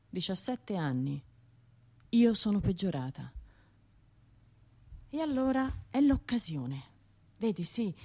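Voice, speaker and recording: An anxious, halting Tuscan woman, slow-paced. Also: mid-range voice, darkish tone. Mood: bitter, anxious. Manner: halting, fragmented, self-interrupting inner monologue. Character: traumatised woman bombing survivor, anxious and self-doubting, talking to herself. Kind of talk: radio drama monologue.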